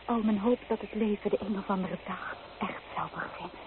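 A woman's voice: mid-range voice, steady tone, a little fast, lightly acted. An actress playing an anxious, dreamy young provincial woman in a radio play, pleading and emotional. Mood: wistful. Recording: old noisy, tinny radio recording.

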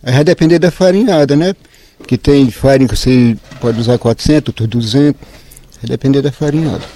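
A man's voice: medium pitch, a little fast, dark tone. A man with a rural Northeastern Brazilian accent from Ceará, explaining things informally in plain colloquial speech as an interviewee. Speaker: interviewee, flour-house worker.

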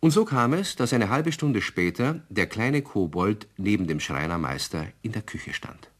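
A man's voice: lowish voice, sing-song, normal speed. A man narrating a scripted children's radio play in storybook style. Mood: storytelling.

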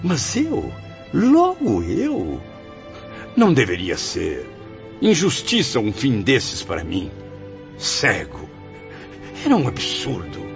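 An actor with a Brazilian accent playing a proud, embittered blind writer's spirit in a radio soap, declaiming theatrically. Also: male voice, medium pitch, huge pitch swings, slow pace, creaky, long pauses, heavily acted. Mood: anguished. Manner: theatrical, declamatory, grandiose, with swelling and sinking emphasis. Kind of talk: radio soap opera acting. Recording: dull lo-fi audio, music behind.

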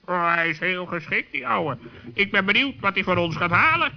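A man's voice: high voice, lively intonation, normal speed, gravelly, pitched above the performer's own voice. A man voicing a cheeky, blunt, bickering bird in a children's radio play. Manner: blunt, sharp-tongued. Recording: muffled old sixties recording.

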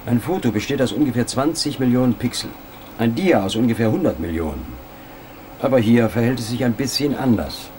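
An actor playing a precise professor of digital image manipulation, speaking in a lecturing, matter-of-fact way. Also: male voice, mid-range voice, animated, a little fast, darkish tone, slight background noise, natural voice, low-quality recording.

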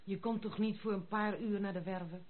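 A woman's voice: medium pitch, steady tone, normal speed, lightly acted. A headstrong young noblewoman, played by a woman in a radio drama, runs her house like a regiment. She is curt and commanding, with a dry teasing edge. The old recording is thin and phone-like. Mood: dryly teasing. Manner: commanding, curt, teasing with military turns of phrase. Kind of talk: Dutch radio play acting.

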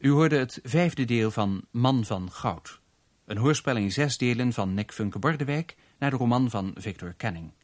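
A man's voice: mid-range voice, lively intonation, normal speed. A man working as a radio announcer, formally reading out scripted credits for a radio play.

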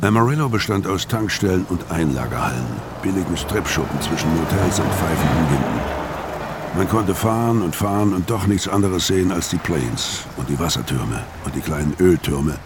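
An actor playing a weary, hard-drinking middle-aged killer on the run in a noir radio drama, bleak and terse, with a low gravelly voice. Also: male voice, lively intonation, moderate pace, very noisy. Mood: bleak, brooding. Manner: terse, brooding. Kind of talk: noir first-person radio drama narration and dialogue.

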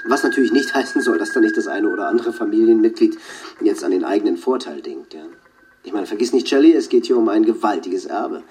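An actor playing an evasive, insinuating official who answers questions emphatically in a radio crime drama. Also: male voice, on the low side, expressive, fast, darkish tone, natural voice. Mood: sly, emphatic. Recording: very noisy background ambience.

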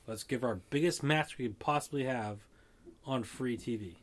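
A man's voice: mid-range voice, moderate pace. A man co-hosting a casual chat, rambling and thinking aloud as he talks.

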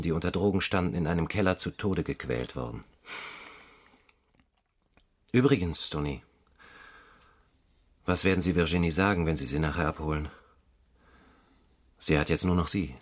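An actor playing a probing, businesslike police inspector in a German radio crime drama, direct and questioning. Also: male voice, low-pitched.

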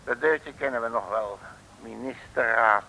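An actor playing a brash, jovial detective in an old comic radio serial, cocky and folksy. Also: male voice, medium pitch, a little slow, sharp tone, low-quality recording. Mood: gleeful, boisterous. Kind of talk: 1950s-60s comic radio play acting. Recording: old mid-century radio recording.

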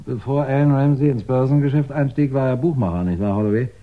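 An actor playing a courteous, businesslike English chief police inspector in a 1960s German radio crime drama. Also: male voice, medium pitch, fast talker, close to an everyday voice. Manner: polite, businesslike, instructing. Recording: dull 1960s radio recording.

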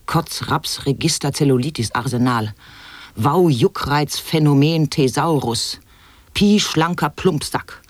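A man's voice: fairly high pitch, takes it slowly, no room sound. An actor playing an eccentric man who declaims absurd wordplay in staccato lists for an experimental radio drama. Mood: haranguing.

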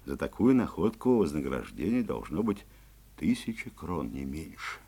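A man's voice: extremely low pitch, big pitch swings, normal speed, creaky, warm tone, slightly rough, full character voice. An actor playing a kindly forester father in a children's audio play, marvelling and emphatic as he explains things to his boy. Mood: patient, explaining. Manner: emphatic storytelling to a child, astonished. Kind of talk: 1970s Soviet audio play acting.

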